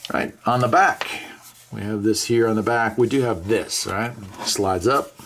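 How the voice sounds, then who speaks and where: A man's voice: lowish voice, unhurried, fairly bright tone. An American man hosting a casual product review, talking conversationally and enthusiastically and repeating phrases for emphasis. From United States. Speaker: radio reviewer and video host. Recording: clear background noise, clicks of handling.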